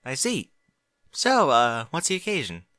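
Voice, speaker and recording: A man's voice: medium pitch, wildly swooping pitch, a little slow, pushed and bright, dry recording, studio quality, pitched above the performer's own voice, heavily acted. A man voicing a fretful, bookish young pony mare, anxious and easily flustered.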